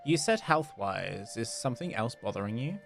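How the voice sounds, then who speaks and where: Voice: mid-range voice, big pitch swings, normal speed, gravelly, soft background music. A game streamer giving casual, offhand commentary while playing, musing aloud.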